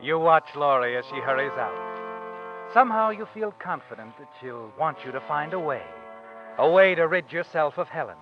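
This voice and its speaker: An actor playing an ominous, knowing storyteller, narrating in the second person in 1940s radio suspense style. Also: male voice, on the high side, lively intonation, normal speed, lightly acted. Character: ominous, knowing storyteller addressing the guilty man. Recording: muffled old radio recording. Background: dramatic music.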